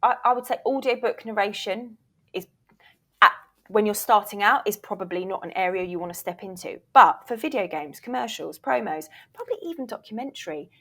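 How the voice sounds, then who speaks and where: A woman's voice: mid-range voice, a bit bright. A woman giving advice as an interview guest in casual talk, encouraging and emphatic. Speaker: interview guest, voiceover reel producer and former agent.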